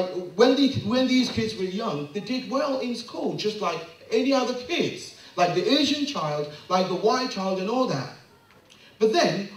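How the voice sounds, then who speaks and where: Man giving an earnest talk, high lively voice, big echoey room, mic way off. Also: recorded from a distance. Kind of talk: impassioned monologue. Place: London.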